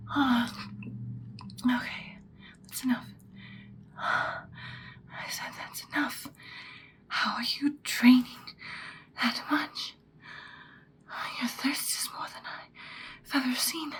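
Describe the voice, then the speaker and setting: A woman voicing an imperious, seductive ancient vampire in a breathy, dramatic whispered ASMR roleplay. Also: high voice, steady tone, fairly bright tone, full character voice. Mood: alarmed, astonished. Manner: slow, deliberate, dramatic. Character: ancient female vampire, seductive, imperious, menacing yet protective.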